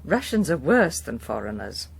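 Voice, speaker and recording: Arch, husky, low-voiced English mother. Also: very animated, moderate pace, exaggerated voice. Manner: dry, arch, reproving. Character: arch, witty upper-class English mother and wife. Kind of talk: British radio drama acting.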